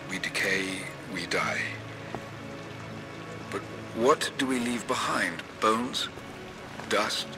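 A man playing a grave, obsessed father and scholar, giving a solemn, measured video game monologue. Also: fairly low pitch, low-quality recording. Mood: reflective. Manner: measured, philosophical, foreboding.

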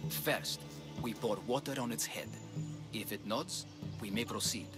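Actor as a solemn, devout Greek healer priest. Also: male voice, fairly low pitch, loud music behind the voice, lightly acted. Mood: instructive, solemn. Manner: grave, measured, earnest. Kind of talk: video game drama acting.

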